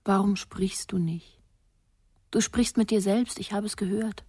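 Very breathy, hushed anxious mother. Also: mid-range voice, fairly even intonation, a little slow, dry recording, studio quality. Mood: anxious coaxing. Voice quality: breathy, husky. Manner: hushed, insistent. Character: anxious, insistent mother coaxing a silent child. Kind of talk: German radio drama acting.

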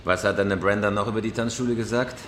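A man's voice: lowish voice, fairly even intonation, fast, fairly bright tone, a little hiss or hum, lightly acted. Terse, commanding crime boss, played by a man. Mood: tense, focused. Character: hard-boiled male gang leader, gruff and commanding. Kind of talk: crime radio drama acting. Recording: music behind the voice.

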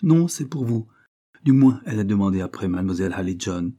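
Un homme jouant un domestique dédaigneux. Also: male voice, lowish voice, animated, brisk, dark tone. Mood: curt. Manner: curt, grudging. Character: disdainful male house servant.

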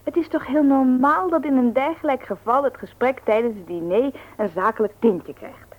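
An actress playing a strong-willed, self-justifying wife in a radio play, insistent and exasperated. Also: female voice, high-pitched, normal speed. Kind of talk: Dutch radio play acting. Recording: thin phone-like old radio recording.

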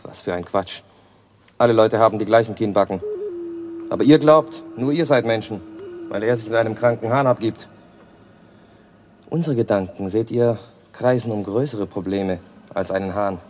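Radio drama: actor as a stern organizer husband, lowish voice, faint hiss. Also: male voice, moderate pace, long pauses, a little mellow, close to an everyday voice. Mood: scornful. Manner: hard, dismissive, lecturing. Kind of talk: German radio play acting.

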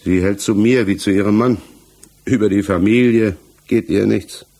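An actor in a 1960s German radio play, playing an accused married village mechanic who answers earnestly, evasively and haltingly. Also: male voice, on the low side, fairly even intonation, warm tone, slightly rough. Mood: defensive. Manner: hesitant, halting, defensive.

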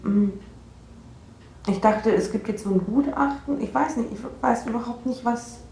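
A woman playing an insecure, defensive out-of-work actress in a naturalistic radio drama, speaking haltingly and trailing off. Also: a little high, warm tone, echoey room, slight background noise, close to an everyday voice. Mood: uncomfortable, defensive.

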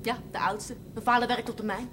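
A young woman with a Dutch accent playing a brash, quick-tongued miner's daughter in a 1970s TV drama. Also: fairly high pitch, steady tone, fairly bright tone, a little hiss or hum, natural voice. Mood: cheeky.

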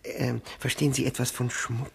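An obsequious widower in a 1960s radio drama. His voice is creaky and rough-edged, at a middling pitch. He pleads haltingly, abasing himself and repeating his words. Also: level tone. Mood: anxious, fussy. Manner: hesitant, obsequious, stammering repetitions. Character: fawning, self-abasing widower pleading to remarry. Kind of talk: 1960s German radio play acting.

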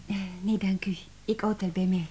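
A woman's voice: mid-range voice, steady tone, normal speed, warm tone, husky, close to an everyday voice. An actress playing a polite, uneasy young French woman cook with a French accent in a Dutch radio play. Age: young adult.

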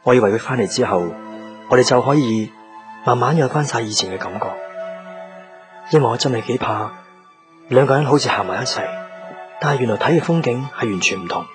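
An actor playing a busy, lovelorn young office man in a Cantonese radio drama, with a Hong Kong accent and a husky, breathy voice. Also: medium pitch, fairly quick, pauses often, music in the background, natural voice. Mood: wistful.

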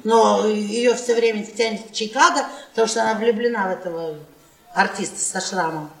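An actress playing a loud, domineering, mocking wife in her fifties in a theatrical radio play, bossy and needling, with drawn-out emphasis. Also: medium pitch, creaky, far from the mic. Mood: insistent, animated. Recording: roomy, echoey stage, off-mic.

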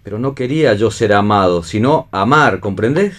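An actor in a radio play voicing a wounded, disillusioned vagabond lover, brooding and dramatic, with an Argentine accent. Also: male voice, lowish voice, lively intonation, moderate pace, a bit bright. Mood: reproachful. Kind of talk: read radio theatre.

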